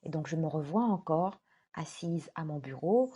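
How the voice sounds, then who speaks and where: Woman, coach, husky, earnest, measured emphasis. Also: sits low, animated, mellow. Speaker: life coach. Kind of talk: direct-to-camera talk.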